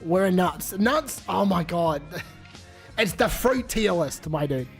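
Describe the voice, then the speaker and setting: Sick kiwi guy streaming, swears a lot, rambles, reads chat, kinda high voice. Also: expressive, slow pace, creaky, faint music behind. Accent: New Zealand. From New Zealand. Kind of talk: casual live-stream chat.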